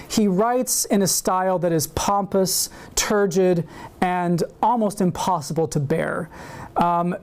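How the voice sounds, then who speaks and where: A male university lecturer reading aloud from a prepared paper. His delivery is measured and deliberate, with an occasional filler between clauses. He speaks as if to a seminar room. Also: high-pitched, darkish tone.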